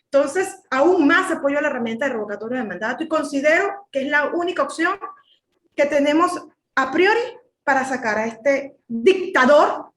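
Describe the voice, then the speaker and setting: Venezuelan woman commentator, high-pitched, emphatic and indignant, echoey room. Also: expressive, moderate pace, fairly bright tone. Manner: indignant, emphatic, repeats words when worked up. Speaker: political commentator. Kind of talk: heated political commentary.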